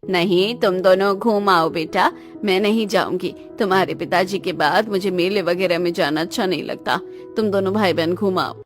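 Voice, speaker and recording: A woman voicing a middle-aged mother in a cartoon, gentle but firm, with a measured maternal manner. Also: on the low side, soft background music, voice pushed down, lightly acted. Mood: gentle, subdued.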